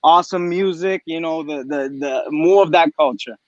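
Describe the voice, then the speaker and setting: A man's voice: on the high side, normal speed, no echo. A man raised in Puerto Rico, a guest on a video call, talking eagerly and rambling with many restarts. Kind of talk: casual chat. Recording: dry muffled video call audio.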